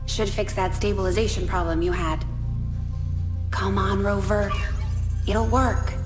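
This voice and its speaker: A woman's voice: mid-range voice, close to an everyday voice. Woman acting as a young lady engineer in a game, earnest and informal, big reverby room, music under it. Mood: busy, upbeat. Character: young female chief engineer, plainspoken, determined, proud. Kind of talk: video game cutscene acting. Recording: echoey reverberant space, music behind.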